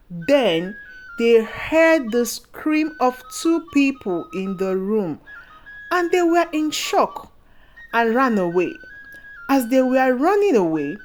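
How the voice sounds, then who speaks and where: Female storyteller, higher-pitched, expressive and unhurried, for scripted folktale narration over light music. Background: background music.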